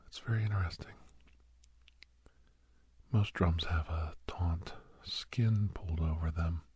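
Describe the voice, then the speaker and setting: A man playing a gentle, reassuring doctor in a soft-spoken ASMR roleplay, with a husky, breathy voice and halting, hesitant delivery. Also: deep voice, dry recording, full character voice. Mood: curious, playful. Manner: soothing, hesitant, halting pauses. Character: gentle, reassuring male doctor counseling a nervous young werewolf.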